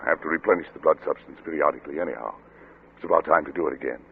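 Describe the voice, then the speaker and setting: A man acting a troubled, obsessive middle-aged doctor and father in an old radio drama, with grave, formal, deliberate delivery. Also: a bass voice, vocal fry, breathy edge, heavily acted. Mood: urgent, determined. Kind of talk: 1940s radio drama acting with first-person narration. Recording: muffled old radio recording with music.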